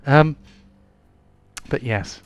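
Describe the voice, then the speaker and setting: A man's voice: medium pitch, very slow, muffled recording. A man, hosting and running a live-play tabletop game in a chatty, rambling way full of ums and restarts. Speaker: game master.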